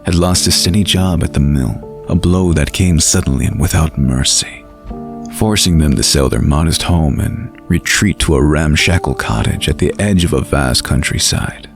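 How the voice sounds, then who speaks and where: Deep, gravelly male storyteller. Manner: measured, literary, atmospheric delivery. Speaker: narrator. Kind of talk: scripted fantasy bedtime story narration. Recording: light music behind the voice.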